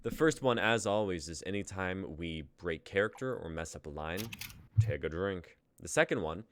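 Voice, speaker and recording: A man's voice: low voice, expressive, normal speed, slight background noise. A young man hosting a group livestream in a casual, jokey, informal way. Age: young adult. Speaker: host of a group streaming show. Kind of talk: casual livestream intro banter.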